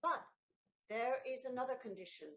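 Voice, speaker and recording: An actress playing a poised, loving noblewoman in an audio play, speaking in a measured, courtly way. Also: on the high side, fairly bright tone, lo-fi sound, close to an everyday voice. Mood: gracious.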